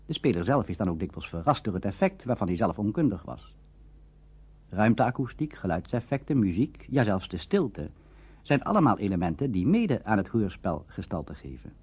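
A man presenting a scripted lecture in a formal, didactic and rhetorical manner, with a husky voice. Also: on the low side, sing-song, moderate pace, a little mellow. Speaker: presenter of a radio drama lecture. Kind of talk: scripted explanatory lecture narration. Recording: dull lo-fi old radio recording.

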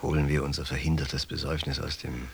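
Give me a low-voiced, enigmatic rich captor. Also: male voice, flat delivery, quick pace, creaky, a little hiss or hum. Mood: probing, controlled. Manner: cool, formal, cryptic, clipped answers. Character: rich, enigmatic armed host toying with his captive guest. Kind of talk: German radio drama acting.

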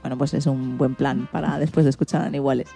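A woman's voice: extremely low pitch, steady tone, fast talker, gravelly, mellow, soft background music. A woman with an accent from Spain, hosting a radio show in a scripted presenting style.

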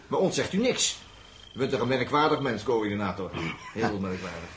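A man's voice: fairly low pitch, animated, moderate pace, fairly bright tone. An actor playing a jovial, teasing spaceship crewman in a theatrical, chatty manner in a 1960s radio play. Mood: chatty, mildly indignant. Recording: noisy early 1960s radio recording.

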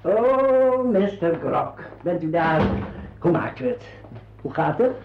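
A man's voice: a little high, sing-song, slow pace, background noise, exaggerated voice. A man acting a fussy, eager sidekick in a radio play, gushing and over-polite with a sly streak. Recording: muffled old radio recording.

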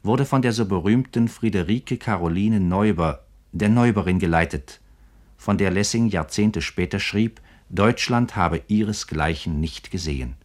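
A man's voice: deep voice, normal speed. A man narrating a literary radio programme in a formal, articulate, scripted 1950s German broadcast style.

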